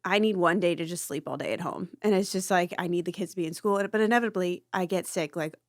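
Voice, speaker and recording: A woman's voice: on the low side, quick pace, no room sound, studio quality. A woman in her thirties, a mother and podcast co-host, chatting casually with easy laughter and rambling asides. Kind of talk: casual chat.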